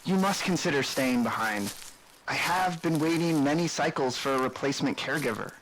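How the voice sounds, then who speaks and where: Older, expressive space-station sage. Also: male voice, fairly high pitch, slight background noise, rough recording. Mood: firm, patient. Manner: measured, philosophical, instructive. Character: older, wise, patient hermit caretaker of space station. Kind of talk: sci-fi audio drama acting.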